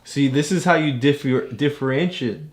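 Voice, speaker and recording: A man's voice: medium pitch, normal speed. A man, a father, casually and patiently explaining things to his child, checking with questions as he goes.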